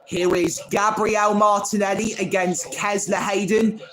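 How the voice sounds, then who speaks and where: Guy on a watchalong stream calling the match pass by pass, kinda high voice, takes his time. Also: level tone, measured pace, fairly bright tone. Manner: calls each pass by player name, chatty asides to chat. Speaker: livestream host. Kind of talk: live football play-by-play watchalong.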